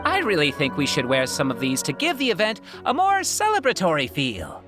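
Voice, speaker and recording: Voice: mid-range voice, huge pitch swings, fairly quick. An actor voicing a playful, teasing young townsperson in a video game, over game music. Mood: cheerful.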